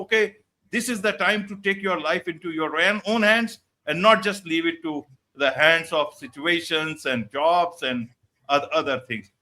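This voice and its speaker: Bright-voiced male interview host. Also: sits high. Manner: formal, scripted intro, then loose questions with "you know". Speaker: show host. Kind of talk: hosted online interview.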